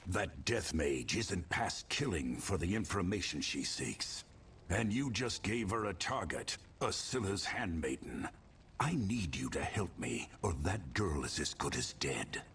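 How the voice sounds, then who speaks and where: Deep, stoic adventurer. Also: male voice, big pitch swings, measured pace, a bit bright, a little hiss or hum, exaggerated voice. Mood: resolute. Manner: terse, serious, firm. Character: stoic, resolute male adventurer hero. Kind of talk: fantasy game dialogue.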